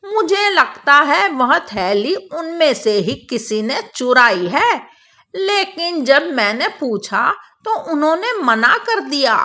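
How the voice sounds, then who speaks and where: A woman plays a troubled, respectful petitioner in a moral cartoon. The high voice moves with lively, wide intonation. Each plea is slow, careful and deferential. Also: heavily acted. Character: respectful, worried male complainant at royal court. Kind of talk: Hindi children's moral cartoon dubbing.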